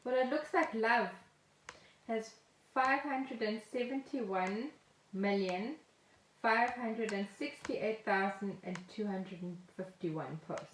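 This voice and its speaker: A woman's voice: medium pitch, takes it slowly, a bit bright. A woman with a South African accent chatting casually and playfully as a podcast co-host. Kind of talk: casual chat. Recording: roomy echo with faint noise.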